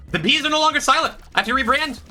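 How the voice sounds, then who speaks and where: A man's voice: expressive, racing, pushed and bright. A man streaming a video game, rambling to his chat in a self-mocking way with a stuffed-up, congested voice. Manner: rambling, self-mocking, breaks into high squeaky jokes. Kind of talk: casual gameplay commentary to chat.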